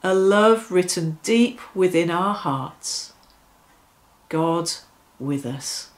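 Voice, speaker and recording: A middle-aged woman leading evening worship in a measured, reverent way, with deliberate phrasing, speaking indoors. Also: fairly low pitch, animated. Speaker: church worship leader. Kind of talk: devotional reflection and led prayer. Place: small furnished room indoors.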